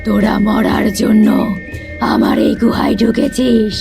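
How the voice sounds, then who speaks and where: A menacing cartoon cave monster, acted out by a voice performer, threatens intruders in a very creaky, gravelly growl. The delivery stays flat and ominous, with music clearly behind it. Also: mid-range voice, unhurried. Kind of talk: Bengali cartoon voice acting.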